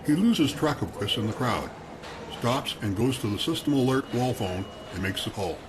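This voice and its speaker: A man narrating a radio drama in a steady, measured tone. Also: mid-range voice, background noise. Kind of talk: scripted narration.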